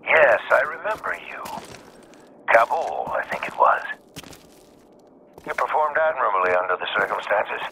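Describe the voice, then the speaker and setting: An actor playing an ominous renegade colonel in a video game, speaking over a radio in an oratorical, philosophical manner. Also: male voice, medium pitch, expressive, creaky, pushed and bright, low-quality recording. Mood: imposing, measured. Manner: deliberate, pompous, oratorical. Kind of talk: dramatic video game acting. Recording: radio transmission with faint noise.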